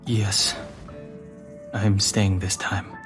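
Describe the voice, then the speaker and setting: A stoic young guardian in a space adventure game. His voice is fairly low, restrained and serious, with the air of someone explaining, while music plays clearly behind. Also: flat delivery, extremely slow, a bit bright. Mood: firm. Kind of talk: game voice acting.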